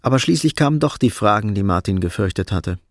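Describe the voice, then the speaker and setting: An actor reading scripted literary narration as the sober, detached storyteller of a radio play. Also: male voice, lowish voice, expressive, fast talker, no echo, clean studio sound. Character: sober, detached storyteller of a Cold War thriller.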